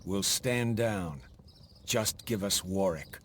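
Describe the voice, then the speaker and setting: An actor playing a grim, resolute mercenary leader on the frontier in a dramatic game cutscene. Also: male voice, sits low, takes it slowly, a little hiss or hum. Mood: determined. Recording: music behind the voice.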